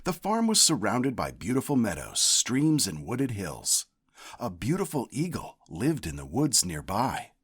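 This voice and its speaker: A man narrates a children's picture book. His delivery is deliberate and expressive, and his pitch moves around freely as he tells the tale. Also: slightly rough. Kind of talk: scripted read-aloud narration.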